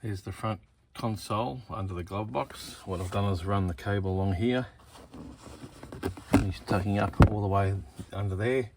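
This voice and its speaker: A man with a fairly deep voice narrates a hands-on how-to as a DIY presenter. He is plain and matter-of-fact, explaining things step by step. There is some faint noise behind his voice. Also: normal speed.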